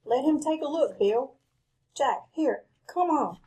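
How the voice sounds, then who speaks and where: A worried young western wife, high voice, urgent and pleading. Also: female voice, expressive, slow, warm tone, a little hiss or hum. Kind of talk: old-time radio western acting.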